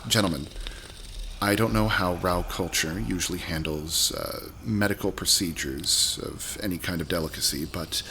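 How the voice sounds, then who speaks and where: Deep-voiced, hesitant physician. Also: male voice, pushed and bright, a little hiss or hum. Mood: cautiously polite. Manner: diplomatic, halting. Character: polite, hesitant male physician.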